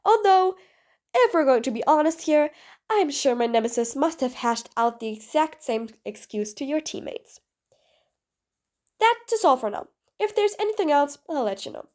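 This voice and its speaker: A woman's voice: high voice, exaggerated voice. A woman voicing a cool, blunt female supervillain in an audio roleplay, talking in clipped, commanding, sardonic lines. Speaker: voice actor. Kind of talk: audio roleplay, one side of a dialogue.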